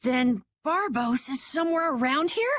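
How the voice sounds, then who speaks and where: Plucky game kid, high bouncy pitch, eager curiosity, slight noise behind. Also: female voice, lively intonation, moderate pace, a bit bright, no room sound. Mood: curious, eager. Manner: eager, questioning. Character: eager, inquisitive youngster, plucky adventurer. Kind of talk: video game voice acting.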